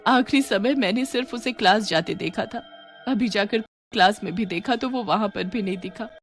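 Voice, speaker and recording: A woman's voice: medium pitch, moderate pace, soft background music, close to an everyday voice. A woman voicing a worried, pleading mother in a horror story. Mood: distressed. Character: anxious mother searching for her missing son.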